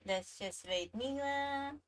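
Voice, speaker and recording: A woman's voice: high-pitched, takes it slowly. Woman streaming, takes her time talking, laid-back casual chat, kinda trails off mid thought. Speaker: livestreamer, VTuber host. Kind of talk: casual livestream chat and game reactions.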